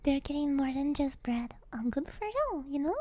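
A woman streaming ASMR, chatting to viewers in a soft, breathy, gentle whisper close to the microphone, with a playful manner. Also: high voice, expressive, normal speed, no echo. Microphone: close microphone, Blue Yeti.

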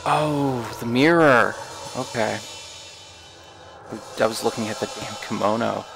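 A man's voice: medium pitch, slow pace, vocal fry, over music. A man hosting a let's play, giving casual, hesitant, informal game commentary as if talking to himself. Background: horror game audio.

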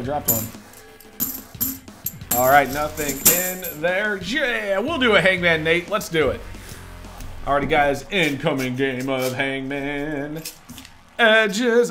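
Hoarse upbeat man hosting a stream. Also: on the high side. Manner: upbeat, chatty, greets viewers by name. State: hoarse, scratchy voice. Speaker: livestream host. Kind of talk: casual livestream chat. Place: indoors at a desk.

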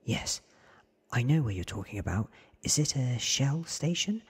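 A man voicing an eager, naive, smitten teenage boy from a storybook in a breathy, questioning ASMR whisper. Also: medium pitch, animated, unhurried, voice pushed up, exaggerated voice. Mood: eager, curious.

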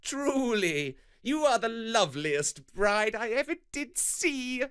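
A man's voice: extremely high pitch, very animated, slow pace, a bit bright, clear, resonant tone, pitched above the performer's own voice, heavily acted. A man voicing a sly trickster god in a children's storybook, coaxing and drawing out his words. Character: sly male trickster god, smooth persuader.

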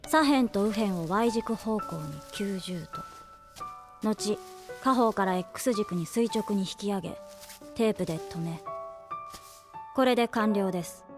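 A woman voicing a formal, literal-minded android maid who speaks in a flat, deadpan way in an anime audio drama. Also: mid-range voice, measured pace, pauses often, over music, lightly acted.

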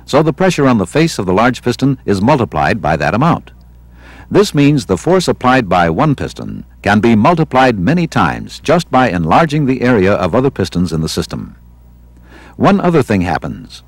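An American man reading scripted instructional narration for a training film in a measured, didactic way. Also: on the low side, no echo. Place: studio voice-over.

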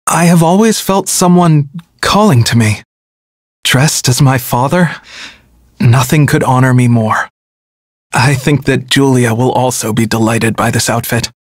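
A man's voice: mid-range voice, a little slow, no echo, clean studio sound, natural voice. A man voicing an earnest, dutiful young hero in a video game, polite and sincere in manner.

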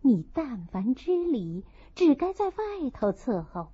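A woman's voice: high voice, sing-song, takes it slowly, mellow, slightly rough, no echo, full character voice. A woman voicing a dutiful, tactful young maidservant in an audiobook, deferential yet firm. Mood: firm, scolding. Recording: dull lo-fi dry recording.

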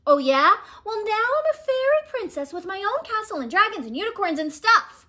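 A woman voicing an excitable, indignant little girl from a picture book, with emphatic exclamations. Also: very high-pitched, normal speed, voice pushed up, full character voice. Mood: excited, indignant.

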